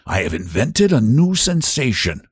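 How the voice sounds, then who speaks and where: A man's voice: medium pitch, very animated, breathy edge, dry recording, studio quality, exaggerated voice. A man voicing a suave, menacing hunter general. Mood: suave, boastful. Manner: courteous, slow and deliberate.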